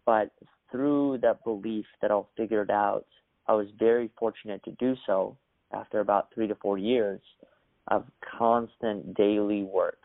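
A young man from Russia, a podcast guest telling his story in a measured, earnest way. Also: fairly low pitch, fairly even intonation, vocal fry, dry recording. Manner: measured, earnest, occasional restarts. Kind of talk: reflective storytelling. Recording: dry, phone-like narrow sound.

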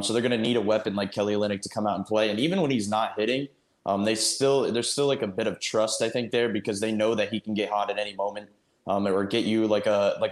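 A man giving casual sports analysis as a panelist, stringing clauses together with frequent ums. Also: on the low side. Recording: roomy, echoing sound.

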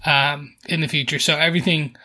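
A man's voice: a little high, fairly even intonation, fairly quick, bright, forceful tone. A man co-hosting a podcast, chatting casually about a TV show and reading out listener mail, sometimes repeating words as he thinks. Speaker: podcast co-host. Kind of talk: casual TV recap chat, reading listener email.

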